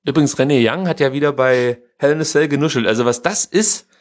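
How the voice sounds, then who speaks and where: A man's voice: mid-range voice, fast. A man co-hosting a podcast, chatting casually and giving his opinions in colloquial language. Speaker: podcast co-host. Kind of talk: casual chat about pro wrestling.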